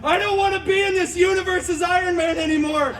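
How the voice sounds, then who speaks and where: A man voicing a panicked, melodramatic male superhero, shouting theatrically in a live stage show. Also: very high-pitched, fairly even intonation, fast, recorded from a distance, a loud crowd, very noisy, voice pushed up. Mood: frantic.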